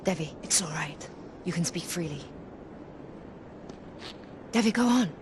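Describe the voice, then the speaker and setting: A woman playing a composed, resolute rebel queen with an Indian accent in a video game, measured and authoritative. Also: lowish voice, expressive, background noise. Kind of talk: dramatic video game acting. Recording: game audio with music behind.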